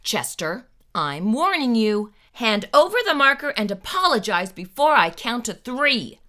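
A middle-aged woman hosting children's storytime, reading a picture book aloud in a theatrical, deliberate, emphatic way. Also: on the high side, sing-song, pushed and bright. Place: small indoor room with bookshelves.

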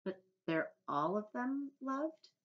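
A woman's voice: mid-range voice, big pitch swings, brisk, voice pushed up. A woman voicing a kind, curious young boy who asks earnest questions. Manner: inquisitive, earnest. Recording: dull lo-fi audio.

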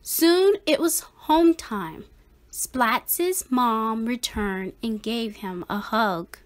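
A woman's voice: on the high side, drawn-out words. A woman narrating a children's storybook as a read-aloud. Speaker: storybook reader.

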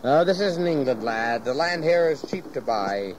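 An actor playing a jovial, garrulous, folksy ship captain in an audio history drama. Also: male voice, medium pitch, lively intonation, moderate pace, close to an everyday voice. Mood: advising. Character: hearty, cantankerous-sea-loving ship captain, folksy and fatherly. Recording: clear background noise of ship ambience.

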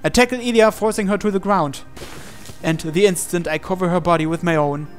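A man's voice: high voice, brisk, faint music behind. A man reading a visual novel aloud as a game player, in dramatic storytelling narration.

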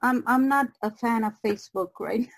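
A woman guest in a reflective podcast conversation on a video call, speaking haltingly and searching for words. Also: on the high side, gravelly. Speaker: guest artist.

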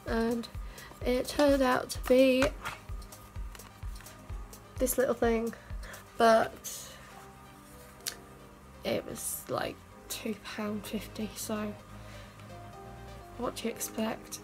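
Young woman, stuffy and croaky, unhurried and even-toned, self-deprecating beauty-vlog chatter, camera mic in her bedroom. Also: on the high side, faint music behind. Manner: chatty, self-mocking, rambling with fillers. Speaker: beauty vlogger. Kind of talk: casual makeup tutorial chat. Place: bedroom, indoors. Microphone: camera mic at arm's length.

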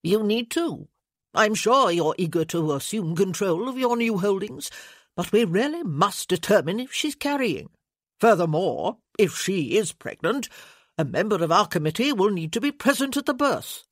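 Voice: medium pitch, big pitch swings, normal speed, no echo, studio quality. Narrator putting on a fussy, pompous little lord. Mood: insistent. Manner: prattling, insistent, officious. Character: puffy, over-eager, pompous little male lord.

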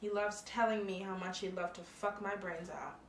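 An actress playing a blunt, profane but caring young college friend. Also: female voice, mid-range voice, fairly even intonation, normal speed, echoey room, a little hiss or hum, close to an everyday voice. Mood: bitter. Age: young adult.

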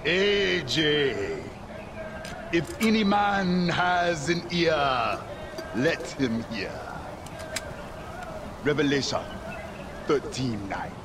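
Sin-haunted preacher, high-pitched, unhurried, grandiose, fervent, video game cutscene. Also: male voice, lively intonation, exaggerated voice. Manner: fervent, grandiose, quoting scripture like a sermon. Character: zealous preacher, former arms dealer haunted by sin. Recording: game audio with clear outdoor ambience noise.

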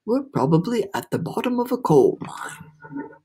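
A woman's voice: deep voice, moderate pace, husky. A woman voicing a gloomy, fretful storybook earthworm who complains.

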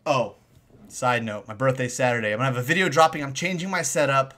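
A man's voice: medium pitch, fast talker, pushed and bright. An American man in his thirties giving casual, upbeat, slangy commentary for a gaming video.

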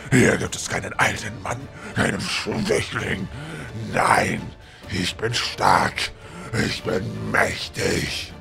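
A monstrous fantasy audio drama villain, deep and very gravelly. He draws out his words slowly, gloating over his own might. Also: bright, forceful tone, faint music behind, exaggerated voice. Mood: gloating, menacing. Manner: slow, gloating, grandiose. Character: monstrously transformed old man, huge gloating villain.

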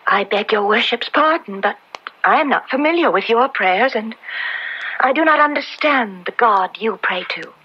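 Earnest, pleading young maiden, bright husky voice, old radio drama. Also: female voice, fairly high pitch, expressive, normal speed, lo-fi sound, full character voice. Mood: anguished, pleading. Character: frail, defiant young accused witch maiden, pleading innocence.

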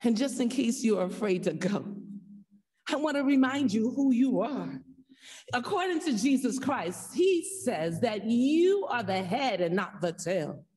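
A woman preacher delivering an earnest sermon, exhorting her listeners with repeated phrases and emphatic pauses. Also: a little high, big pitch swings, darkish tone. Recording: echoey hall, distant from the mic.

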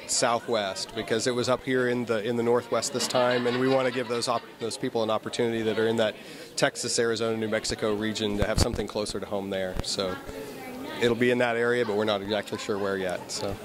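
A man's voice: on the low side, level tone, quick pace. A man in his forties, a committee chair, answering an interviewer matter-of-factly in a hotel conference lobby. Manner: matter-of-fact, planning-minded. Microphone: handheld interviewer mic. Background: crowd chatter.

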